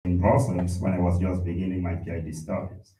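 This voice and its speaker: A man chairing a conference, introducing the keynote in a measured, formal way with hesitant fillers. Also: low-pitched, little pitch movement, dark tone, a little hiss or hum. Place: large conference hall. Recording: echoey hall from a distant mic.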